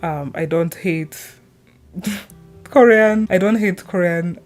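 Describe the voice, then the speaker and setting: A woman talking casually in a rambling, giggly commentary, often halting and cutting herself off mid-thought. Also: on the low side, darkish tone, faint music behind. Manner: chatty, halting, self-interrupting, giggly. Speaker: video creator.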